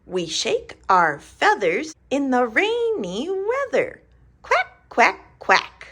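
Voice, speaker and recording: A woman voicing a cheerful little duckling from a picture book, reading slowly in a sing-song way and squealing high on the quacks. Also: wildly swooping pitch, a bit bright, exaggerated voice. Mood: playful.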